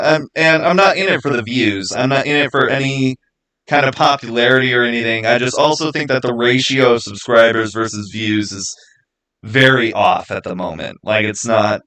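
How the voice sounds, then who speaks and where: A man with a mid-pitched voice hosts a casual livestream from a small home studio. He talks to his viewers in a loose, rambling way, often doubling back to repeat words for emphasis. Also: bright, forceful tone, poor audio. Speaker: YouTube creator and livestream host.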